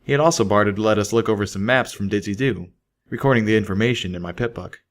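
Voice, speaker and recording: A man's voice: lowish voice, fast. A man reading a scripted first-person story as an audiobook narrator. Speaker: audiobook reader.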